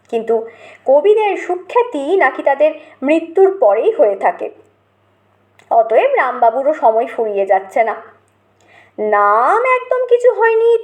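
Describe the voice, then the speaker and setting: High-voiced woman narrating a comic story slowly.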